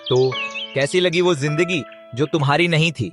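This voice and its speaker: A man voicing a wise old male owl sage in a cartoon story, speaking in a measured, instructive way. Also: on the high side, fairly bright tone, soft background music, close to an everyday voice. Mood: gently questioning.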